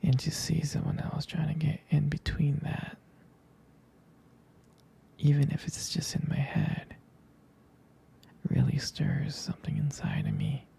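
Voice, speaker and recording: A man playing a tender, jealous, devoted boyfriend in an intimate ASMR roleplay, speaking haltingly in a breathy, husky whisper. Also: a little high, huge pitch swings, warm tone, full character voice. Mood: possessive, tender. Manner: hesitant, intimate, halting delivery.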